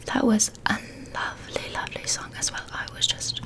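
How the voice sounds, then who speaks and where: Soft-whispering young woman, ASMR. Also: normal speed. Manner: soft whispering, gentle and reassuring. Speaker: ASMR creator. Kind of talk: ASMR roleplay. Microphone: close microphone.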